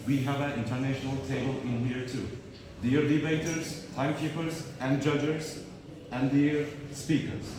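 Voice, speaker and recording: A male student debater giving a formal opening speech, earnest and halting with fillers, recorded from a distance in a large school hall. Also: medium pitch, darkish tone. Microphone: far room microphone. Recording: roomy hall audio from a distance with background noise.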